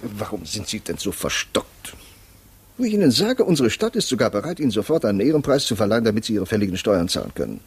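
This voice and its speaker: An actor in a 1950s radio drama playing an officious, exasperated defense lawyer, agitated and pleading. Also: male voice, on the low side, big pitch swings, fast, husky, full character voice.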